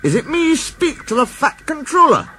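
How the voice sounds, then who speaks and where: A man's voice: very high-pitched, very animated, moderate pace, pushed and bright, a little hiss or hum, voice pushed up, heavily acted. A man voicing a modest, loyal male steam engine with a Scottish accent, shy and earnest.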